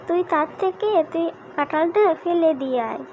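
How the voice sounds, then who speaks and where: A woman as a high-voiced, fretful cartoon mother. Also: normal speed, phone-quality sound, noisy background. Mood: coaxing. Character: caring, anxious, scolding cartoon mother. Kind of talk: children's cartoon story dubbing.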